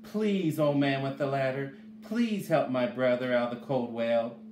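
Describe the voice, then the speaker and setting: A man's voice: mid-range voice, measured pace, a little mellow, lightly acted. A man voicing a respectful, frantic little boy in a storybook, gasping and pleading with urgency. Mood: urgent pleading. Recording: echoey room, faint background noise.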